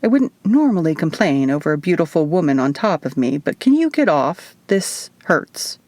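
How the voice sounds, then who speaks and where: A woman's voice: sits low, very animated, moderate pace, darkish tone, no room sound. A woman reading as a proud, sardonic wounded man in an audiobook, sneering and easily irritated. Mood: strained, wry. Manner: sneering, grumbling.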